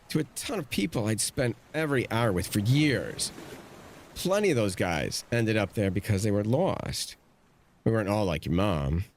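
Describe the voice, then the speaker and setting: Audio drama uncle, middle-aged ex-navy, male actor. Earnest, fretful, lively swings in pitch, some noise behind.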